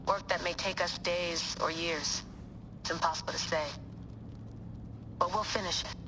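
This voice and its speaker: A woman's voice: lowish voice, background noise, lightly acted. An actress playing a brave, resigned woman leaving a farewell message in a video game. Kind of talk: video game cutscene acting.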